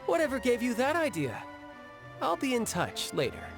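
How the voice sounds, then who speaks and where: An actor playing a breezy, confident young male fighter in a video game, speaking in a casual, smooth, conversational manner. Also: extremely high pitch, huge pitch swings, moderate pace, heavily acted. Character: breezy, confident young male warrior who relishes duels. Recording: music behind the voice. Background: game music.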